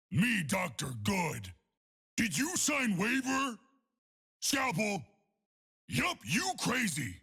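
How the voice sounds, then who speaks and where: An actor voicing a huge, dim-witted monster doctor in a video game, rough and booming, cheerfully menacing with broken childlike grammar. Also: male voice, bright, forceful tone, full character voice. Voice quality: gravelly, rough. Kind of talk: exaggerated video game character voice lines.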